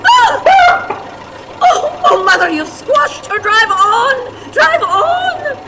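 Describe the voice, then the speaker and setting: A woman's voice: very high-pitched, sing-song, very slow, crowd noise, exaggerated voice. Cantankerous old woman, swooping delivery, theatrical lamenting, radio play, reverberant hall with audience noise. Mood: dismayed, lamenting. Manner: theatrical, exclamatory, wailing and lamenting. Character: stout, cantankerous, melodramatic old woman, stiff and self-pitying.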